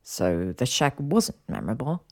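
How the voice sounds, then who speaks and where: A woman's voice: sits low, expressive, moderate pace, vocal fry, exaggerated voice. A woman voicing a cocky, easily stung young man with a smug drawl in a story reading. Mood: teasing. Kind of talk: fan fiction audiobook dialogue.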